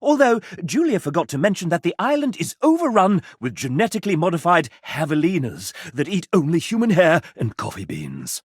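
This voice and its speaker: A man voicing a sassy, arch gentleman who narrates a dating video game in a theatrical, mock-genteel and sardonic manner. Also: fairly high pitch, wildly swooping pitch, fairly quick, few pauses, breathy edge, dry recording, studio quality, heavily acted. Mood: sassy, wry.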